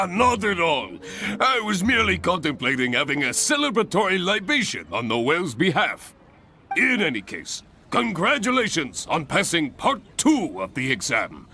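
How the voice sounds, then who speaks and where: An actor playing a hearty, grandiose adventurers' guild examiner in a video game, speaking in formal, exuberant flourishes. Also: male voice, high-pitched, big pitch swings, a little slow, pushed and bright, faint music behind, heavily acted. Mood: pleased, hearty. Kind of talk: English video game voice acting.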